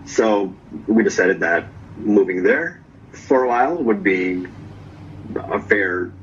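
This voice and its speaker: Low-voiced man on a muffled call. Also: slow, a little hiss or hum. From Norway. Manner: relaxed storyteller, tags lines with "right?". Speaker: guest vlogger. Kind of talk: casual interview chat.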